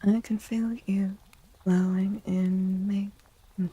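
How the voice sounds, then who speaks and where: A woman streaming a soft-spoken ASMR tarot reading close to the mic, often slipping into a breathy whisper, with a playful, cutesy, affectionate manner. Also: mid-range voice, flat delivery, takes it slowly, no room sound, low-quality recording. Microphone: close microphone.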